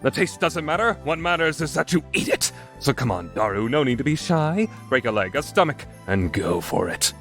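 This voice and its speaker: A man playing a grandiose, theatrical young mad scientist in an anime visual novel fan dub, declaiming pompously and quick to take offence. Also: on the high side, big pitch swings, a bit bright, soft background music. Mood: grandiose, coaxing. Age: young adult. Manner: pompous, declamatory, easily indignant.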